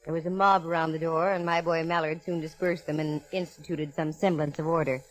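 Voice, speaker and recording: A woman's voice: low-pitched, steady tone, brisk, close to an everyday voice, rough recording. An American actress playing a sassy, hard-boiled female private eye in 1940s radio drama, with wry, wisecracking delivery. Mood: dry narration. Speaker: lead actress and narrator. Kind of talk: 1940s radio detective acting with wry first-person narration.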